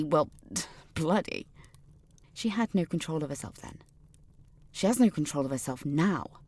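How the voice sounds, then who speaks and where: Casting: privileged, defensive woman for podcast drama, slightly low voice, formal precise diction, pompous. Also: no echo. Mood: indignant, haughty. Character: haughty, defensive upper-class adult alumna named Katrina. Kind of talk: dramatic monologue in a fiction podcast.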